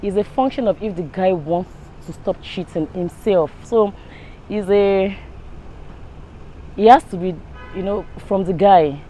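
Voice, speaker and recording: Nigerian female interviewee, mid-range voice, expressive, conversational. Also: medium pitch, unhurried, long pauses, slight background noise, low-quality recording. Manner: expressive, acts out quotes. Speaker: street interviewee. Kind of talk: casual chat.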